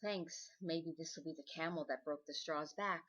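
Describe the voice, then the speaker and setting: A woman's voice: sits low, moderate pace, fairly bright tone, breathy edge, low-quality recording. A woman playing a frazzled, sardonic chain-smoking writer in an old-time radio drama. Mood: weary, wry.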